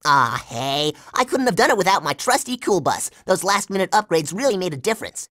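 An actor voicing a cocky, jittery boy pilot in a cartoon video game, blustering and excitable. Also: medium pitch, brisk, no room sound, clean studio sound. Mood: pleased.

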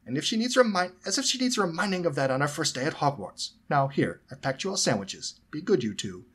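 Man putting on a high-pitched, scolding mother. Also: wildly swooping pitch, quick pace, fairly bright tone, slightly rough, full character voice. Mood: waspish outrage. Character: fussy, scolding motherly woman, protective and stern.

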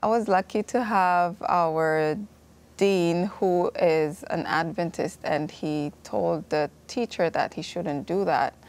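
A woman in her thirties from Ethiopia sharing a personal testimony in a studio Bible class, earnest and quick to laugh, on a lapel mic. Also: lowish voice, a little mellow. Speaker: class participant. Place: TV studio set.

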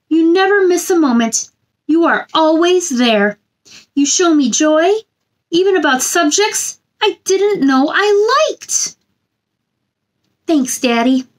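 Female children's read-aloud host, thirties, high voice, expressive and simple delivery for young viewers. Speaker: children's story time host. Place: small indoor room.

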